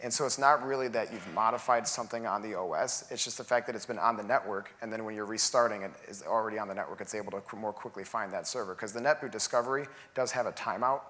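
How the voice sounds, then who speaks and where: A man answering audience questions at a tech conference, explaining things step by step in a steady manner on a large theater stage. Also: on the low side, quick pace, hardly any pauses. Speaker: conference presenter. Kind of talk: technical Q&A answers.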